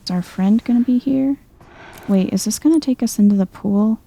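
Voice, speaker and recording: A soft-spoken woman giving chill ASMR video game commentary, musing to herself as she plays. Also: a little high, moderate pace, creaky, slight background noise.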